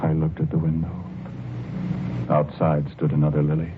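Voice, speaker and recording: An actor playing a haunted, fearful husband in an old-time radio horror drama, speaking slowly and haltingly with brooding, heavy pauses. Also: male voice, extremely low pitch, completely flat delivery, background noise. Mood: dread-filled. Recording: muffled, tinny vintage radio recording with music. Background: loud music.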